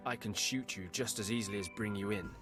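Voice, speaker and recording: An actor playing a corrupt, irritable army sergeant in a video game, gruffly barking orders. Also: male voice, lowish voice, fast, over music, natural voice. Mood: gruff, commanding. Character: corrupt, irritable military sergeant, blustering male villain.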